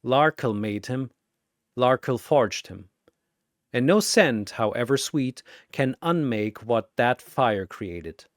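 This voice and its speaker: A narrator delivers dramatic scripted battle fiction. The pace is unhurried and the intonation lively and varied. The recording is dry and close. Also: mid-range voice, no room sound.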